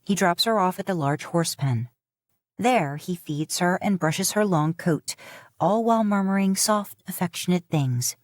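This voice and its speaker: Low-voiced woman narrating a story. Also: unhurried, no room sound, studio quality. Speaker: fan fiction audiobook narrator. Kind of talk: scripted third-person story narration.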